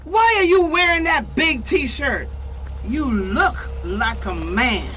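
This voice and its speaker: A woman playing a domineering, critical pageant mother who scolds and shouts in a radio drama. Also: high voice, expressive, slow, a little hiss or hum, exaggerated voice. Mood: scolding, appalled.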